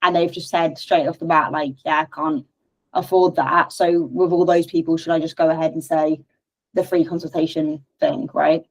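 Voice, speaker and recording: A young woman with a slightly low voice talks on a video call with her coach. She is chatty and hesitant, full of fillers and restarts as she asks her questions. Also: little pitch movement, moderate pace, low-quality recording. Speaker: coaching client. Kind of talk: casual call.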